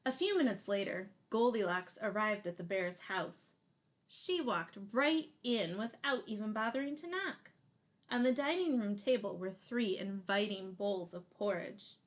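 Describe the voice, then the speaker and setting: A woman in her thirties reads a children's picture book aloud expressively, leaning deliberately on key words. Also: medium pitch, animated. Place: small room indoors.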